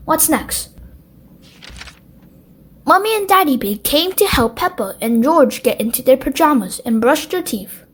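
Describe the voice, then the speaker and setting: A woman reading a children's bedtime storybook aloud as narrator, with expressive delivery. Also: high-pitched, moderate pace.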